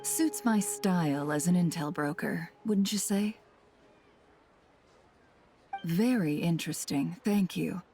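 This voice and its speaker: A woman's voice: fairly low pitch, takes it slowly, pauses often, warm tone, soft background music. A woman voicing a composed, wry, teasing intel broker in a video game. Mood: smooth, teasing. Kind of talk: animated video game voice acting.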